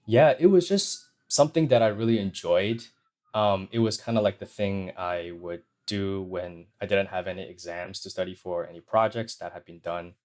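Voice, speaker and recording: A young man from China, a podcast guest with a fairly low voice. He tells his story in a measured, thoughtful way that drops in volume at the ends of phrases. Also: darkish tone. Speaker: guest, sound designer. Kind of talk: interview answers.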